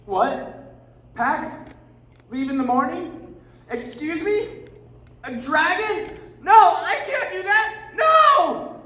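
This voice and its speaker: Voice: very high voice, lively intonation, drawn-out words, long pauses, pushed and bright, heavily acted. A performer playing a flustered, fussy male hobbit homebody, polite but easily alarmed, in a readers theatre performance. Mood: panicked. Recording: echoey room from far off, faint noise.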